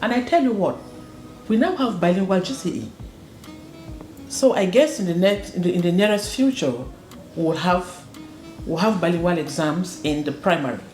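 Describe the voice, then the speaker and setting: A woman's voice: lowish voice, lively intonation, normal speed, pauses often, darkish tone. A woman who runs a school, from northwest Cameroon, answering interview questions earnestly and repeating words for emphasis. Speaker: school proprietor and educator. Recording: roomy echo with faint music.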